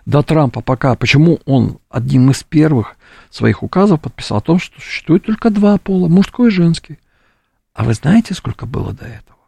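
Gravelly, husky man giving political opinions. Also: fairly high pitch, animated, mellow, no room sound. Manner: halting, peppers speech with appeals to the listener. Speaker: studio guest being interviewed. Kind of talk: live political interview, opinionated commentary. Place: radio studio.

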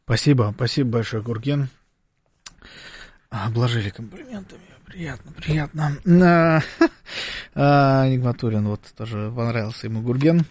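A man's voice: medium pitch, expressive, moderate pace, darkish tone, no room sound. A man hosting a radio talk show, reading listener messages and commenting in a chatty, ironic way. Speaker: radio host.

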